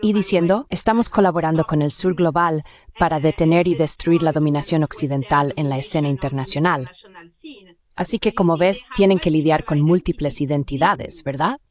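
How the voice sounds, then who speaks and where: A woman's voice: low voice, moderate pace, darkish tone. A woman speaking as an academic expert guest in an interview, explaining things in an analytical, discursive way. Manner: analytical, discursive, with rhetorical check questions.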